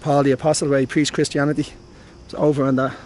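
A man's voice: medium pitch, level tone, quick pace, darkish tone. A man in his forties with an Irish accent, chatting casually to a handheld camera while touring a hilltop site outdoors. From Ireland. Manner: chatty, friendly, thinking aloud. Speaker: travel vlogger. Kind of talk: casual travel vlog. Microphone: handheld camera on a gimbal. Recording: music playing in background.

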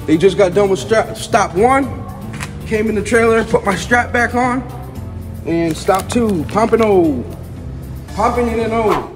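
A man's voice: sits high, slow pace, music in the background. A man in his thirties, a truck driver talking to his phone at arm's length, exasperated and chatty, often saying bro. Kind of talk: casual vlog to camera. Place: outdoors at farms and loading docks, and in a truck cab. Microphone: phone held at arm's length.

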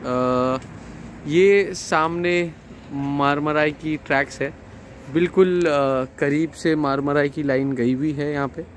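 Thirties man, unhurried property-tour vlogger, halting delivery, handheld phone mic, faint street noise. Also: fairly high pitch. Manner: slow, hesitant, with many fillers. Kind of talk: casual property walkthrough. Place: terrace and empty apartment rooms outdoors and indoors. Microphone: phone camera held at arm's length.

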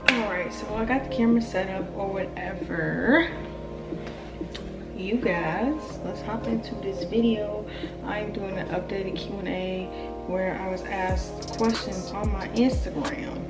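A woman's voice: mid-range voice, echoey room, distant mic. A young woman in her twenties answering fan questions in a casual vlog, chatty and blunt, playful, with slang and swearing. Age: 20s. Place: indoor room near stairs. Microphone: camera mic, filmed from below.

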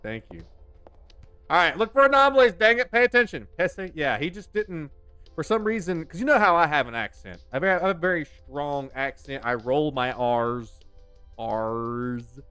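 Man in his 30s, game streamer, smooth voice that swings up and down, rambling jokes on a headset. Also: a little high, lively intonation, moderate pace, bright, forceful tone, no echo, faint music behind. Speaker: gaming streamer. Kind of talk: casual live commentary while playing. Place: home desk indoors. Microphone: headset mic.

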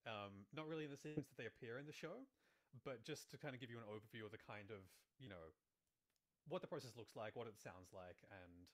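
A man's voice: mid-range voice, fairly quick. A man in his thirties explaining things casually and loosely as a guest, with lots of "like" and "sort of," on a studio microphone. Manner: loose, filler-heavy, frequent "like" and "sort of". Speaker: Foley artist, guest. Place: small room indoors, video call. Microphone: studio microphone on boom arm, headphones.

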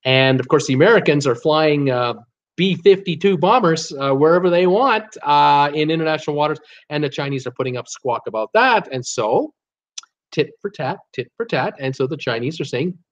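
A man's voice: a little high, normal speed. A man in his sixties talks in a loose, rambling way on a live stream, with plenty of "uh"s between thoughts. The sound is a bit muffled, as from a webcam mic in a small home office. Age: 60s. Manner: rambling, chatty, frequent "uh" fillers, animated hand gestures. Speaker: cruise travel YouTuber hosting a livestream. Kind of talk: casual live-stream chat reading viewer comments. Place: home office with shelves of cruise mugs, indoors.

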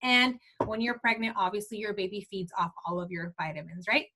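A woman's voice: mid-range voice, fairly quick, bright, forceful tone. A woman in her twenties giving a casual product training talk to her sales team, chatty and full of fillers like "like" and "um". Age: 20s. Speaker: team leader of a beauty sales team.

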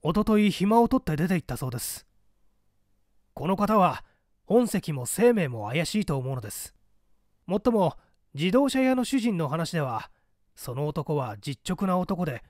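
A man voicing a calm, shrewd police detective who reports methodically and politely in a dramatized audiobook. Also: high voice, normal speed, dry recording, studio quality. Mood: matter-of-fact.

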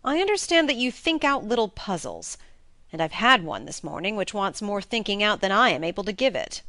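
A woman voicing a brisk, plain-spoken gentleman in an audiobook, emphatic, blunt and colloquial in manner. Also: mid-range voice, sing-song, no echo, clean studio sound. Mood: frank, puzzled. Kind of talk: acted audiobook dialogue.

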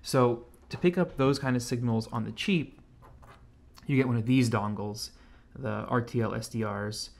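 An American man talks through a hobby electronics demo in a medium-pitched voice. His delivery is laid-back and informal, like someone explaining a project at his desk. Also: normal speed, vocal fry, a little mellow. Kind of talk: casual tech demonstration. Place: indoors at a home computer desk.

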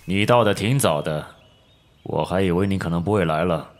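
A man acting a commanding older gang boss in an audio drama, measured, calm and quietly menacing. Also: sits low, slight background noise, lightly acted. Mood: genial, composed. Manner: measured, authoritative.

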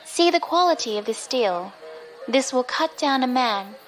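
Casting: actress for young, poised, dangerous heiress, audio drama, polite but pointed, slightly high, music underneath. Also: female voice, lively intonation, a little slow. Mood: coolly challenging. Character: poised, deadly young daughter of a feared father.

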